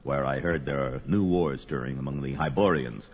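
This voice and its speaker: An actor playing a brawny young barbarian warrior in an audio fantasy drama, blunt and heroic. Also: male voice, very deep voice, normal speed, husky, full character voice. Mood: bold. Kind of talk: audio sword-and-sorcery drama acting. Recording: dull lo-fi audio with music.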